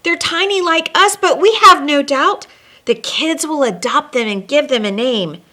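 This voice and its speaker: A woman narrator reads as a tiny scout elf from a children's storybook. The elf is loyal and sure, speaking up in a slightly high, eager, emphatic voice. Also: unhurried, pushed and bright. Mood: confident, urging. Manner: emphatic, earnest pleading.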